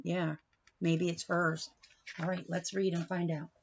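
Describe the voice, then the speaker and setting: A woman reading a picture book to young children like a teacher, pausing to explain and put questions to her listeners. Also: lowish voice, little pitch movement, vocal fry, muffled recording, slight background noise. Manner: instructive, questioning, addresses young listeners directly.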